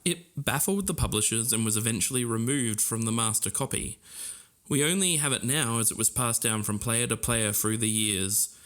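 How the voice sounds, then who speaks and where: A man's voice: mid-range voice, moderate pace, fairly bright tone. A man co-hosting a podcast, narrating from loosely scripted notes. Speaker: podcast co-host.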